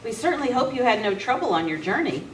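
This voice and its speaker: A woman's voice: fairly high pitch, very animated, quick pace. A woman playing a polite, concerned university president in an amateur radio script reading, with formal manners. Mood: gracious, businesslike. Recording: roomy, distant camera mic, faint noise.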